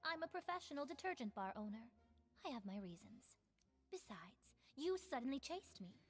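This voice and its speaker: A woman's voice: sits high, big pitch swings, moderate pace, slightly rough, full character voice. An actress playing a defiant, evasive female bar owner in a video game, with a haughty, curt delivery. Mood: defiant, dismissive.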